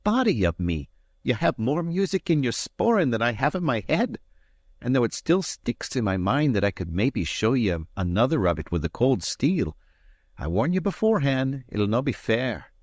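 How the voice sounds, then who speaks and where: A man reading aloud and voicing a proud, hot-tempered Highland swordsman, touchy and boastful. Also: big pitch swings, no echo. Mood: admiring. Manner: touchy, boastful, quick to anger.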